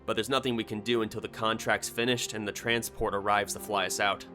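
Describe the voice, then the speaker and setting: A man voicing a gruff, weary, sceptical American engineer in a story, with a dry, grumbling manner. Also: fairly low pitch, level tone, a little fast, pushed and bright, faint music behind. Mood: resigned. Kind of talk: short story character dialogue.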